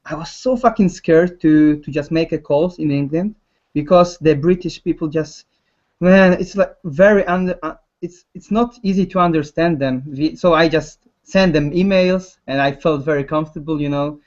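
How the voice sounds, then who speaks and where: A man with a Polish accent, a concept artist speaking English as a second language in a casual chat, halting and often restarting his words. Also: fairly high pitch, a little mellow, dull audio.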